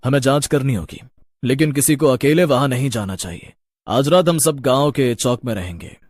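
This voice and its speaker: A man playing a stern, husky village headman. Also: medium pitch, moderate pace, darkish tone, no room sound, lightly acted. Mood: firm. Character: stern, authoritative village headman taking charge.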